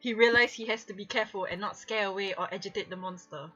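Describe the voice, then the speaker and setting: A woman's voice: mid-range voice, fast talker, dull audio, over loud music. A woman reading fan fiction aloud with comic drama and casual chat, giggling and stumbling over her words. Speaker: streamer hosting a fanfic reading.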